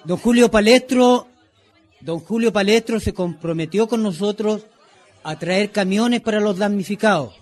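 A man playing a shantytown leader in a radio drama, loudly addressing his comrades in a declamatory way. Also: high-pitched, unhurried, some chatter behind. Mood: urgent, rallying. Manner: loud, declamatory. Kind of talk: radio drama acting, speech to a crowd.

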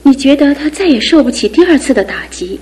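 A woman's voice: high voice, animated, fast, a little mellow. An actress playing a skeptical young woman in a studio radio drama, speaking in a questioning, wistful way. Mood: doubtful. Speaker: fiancé's sister.

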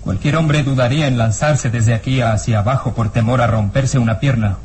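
An actor playing a sober, sceptical police inspector in a radio drama. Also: male voice, medium pitch, a little fast, darkish tone, slight background noise, close to an everyday voice. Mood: serious, questioning.

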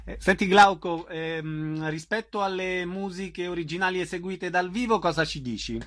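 A man's voice: high-pitched, moderate pace, a bit bright. A man interviewing a guest conversationally, attentive and murmuring agreement as he listens. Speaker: radio interviewer.

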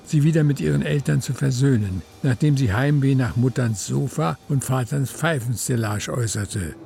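Mellow, gravelly male narrator, higher voice. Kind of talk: scripted literary narration for a radio play.

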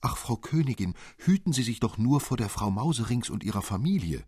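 A man's voice: medium pitch, very animated, a little fast, a little mellow, slightly rough, no room sound, clean studio sound, pitched above the performer's own voice. A man voicing an earnest, anxious little girl in a storybook, pleading in manner. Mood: anxious warning.

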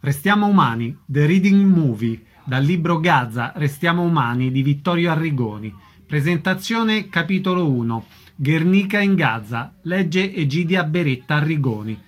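A male radio host with a somewhat high-pitched voice. He presents from a script and puts questions in the manner of a broadcast interviewer. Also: lively intonation, normal speed.

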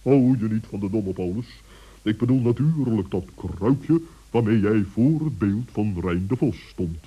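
A man playing a stern, bossy old owl in a children's radio play, pompous and reproving with drawn-out emphasis. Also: fairly low pitch, lively intonation, dark tone, slightly rough. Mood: suspicious, probing. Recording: dull vintage radio recording.

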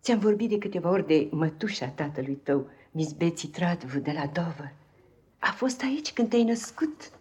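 A woman playing a devoted, motherly nursemaid in a 1950s radio drama, tender and emotional, with a husky voice. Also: on the low side, animated, a little mellow. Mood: tender, confiding. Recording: dull, muffled 1950s radio recording.

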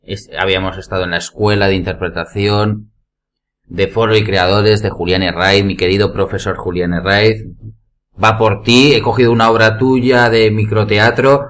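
A man's voice: fairly low pitch, steady tone, normal speed, fairly bright tone, slight background noise. A man with a Spanish accent from Spain, telling anecdotes in a casual group chat as a podcast panelist. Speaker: podcast panelist and amateur actor.

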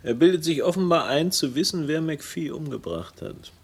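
An actor playing a composed, polite investigator figure in a German radio play, dry and understated. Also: male voice, a little high, lively intonation, normal speed, husky. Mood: inquiring.

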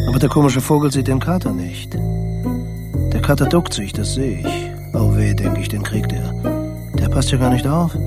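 A man voicing a timid but kindly father mouse, telling his story chattily in short, animated fragments in a children's radio play. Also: mid-range voice. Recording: music behind the voice.

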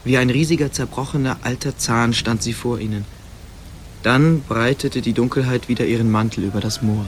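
A man's voice: medium pitch, moderate pace. A man narrating a scripted fantasy radio play as its storyteller. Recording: clear background ambience noise.

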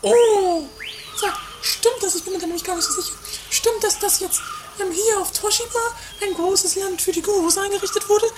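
An extremely high, squeaky voice, an excitable sister in a homemade comedy radio play. She teases and mocks in a theatrical, easily wounded way. The old amateur recording is clearly noisy. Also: moderate pace. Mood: teasing.

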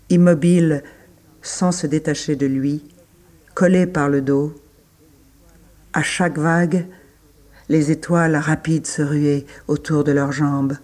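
A man giving a scripted literary reading for an audio drama, measured, pausing between short phrases. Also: a little high, darkish tone. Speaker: literary reader.

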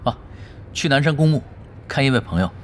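A man's voice: mid-range voice, lively intonation, brisk, slight background noise, lightly acted. A man playing a laid-back young pro gamer in a radio drama, dry, teasing and nonchalant. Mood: dry, casual. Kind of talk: Chinese radio drama acting. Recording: music behind the voice.

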